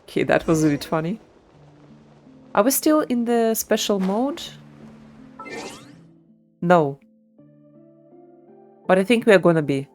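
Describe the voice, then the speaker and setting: A woman's voice: medium pitch, expressive, unhurried, pauses often, a little mellow. A woman streamer giving live commentary on a video game and its lore. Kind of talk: live gameplay commentary with lore read aloud. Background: game music.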